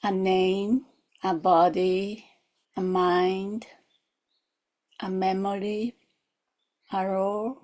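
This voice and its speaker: A woman's voice: medium pitch, flat delivery, extremely slow, pauses often, a little mellow. A woman guides a meditation as a teacher, reflective and questioning, in short spaced phrases. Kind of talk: guided meditation, gentle instruction.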